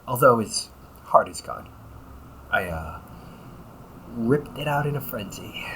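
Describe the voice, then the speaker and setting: A man's voice: medium pitch, expressive, takes it slowly, background noise. A man playing a cynical, teasing vampire cop in an audio roleplay, talking in a slangy, sarcastic and flippant way. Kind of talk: audio roleplay, casual conversational acting.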